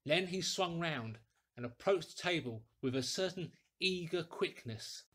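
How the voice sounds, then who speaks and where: A man reading a classic story aloud in a deliberate, theatrical, clearly articulated way. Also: on the high side, animated, a bit bright. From England. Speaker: audiobook reader, actor. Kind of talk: dramatic scripted storytelling.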